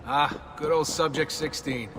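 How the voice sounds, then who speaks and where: A man's voice: a little high, fairly even intonation, unhurried, noisy background, natural voice. A man playing a wry, questioning young everyman hero in a video game, speaking casually. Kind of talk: video game cutscene dialogue. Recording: music behind the voice.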